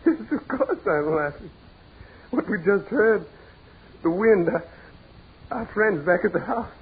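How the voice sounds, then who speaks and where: A man's voice: sits high, expressive, a little slow, breathy edge, heavily acted. An actor playing a proud, practical businessman fighting dread in 1940s radio horror, with theatrical, repetitive insistence. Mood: feverish excitement. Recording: scratchy, tinny 1940s radio recording with noise.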